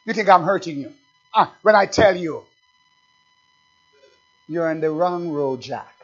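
High-voiced pastor preaching with fire. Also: male voice, animated, soft background music. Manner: swings from low asides to loud preaching; reads scripture slowly and with emphasis. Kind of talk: fiery sermon. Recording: dull, lo-fi recording.

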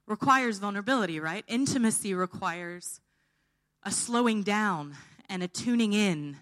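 A woman preaching an informal sermon, her voice tired and held back, often checking in with her listeners. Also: medium pitch.

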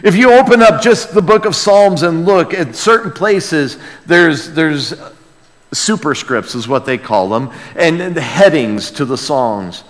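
A man preaching an expository sermon, reverent and emphatic, moving between loud proclamation and hushed emphasis. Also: a little high, expressive, a little slow. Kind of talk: expository sermon with dramatic scripture reading. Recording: long reverberant hall sound.

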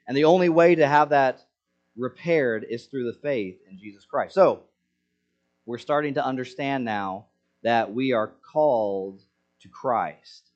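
Man, pastor, sermon, earnest, rhetorical questions, middle pitch, dull lo-fi sound. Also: unhurried. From Senegal.

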